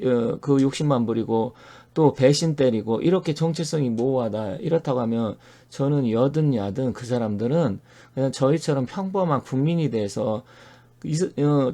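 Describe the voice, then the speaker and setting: A middle-aged man hosting his own fortune-telling channel, giving an earnest, opinionated monologue full of pauses and fillers. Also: medium pitch, creaky, warm tone. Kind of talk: solo monologue to camera.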